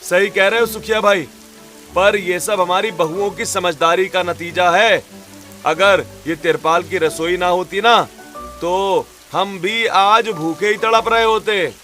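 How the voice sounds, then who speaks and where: Kindly farmer, bright higher male voice, cheerful cartoon delivery over faint music played by a man. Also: steady tone, measured pace. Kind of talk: cartoon story narration and voice acting.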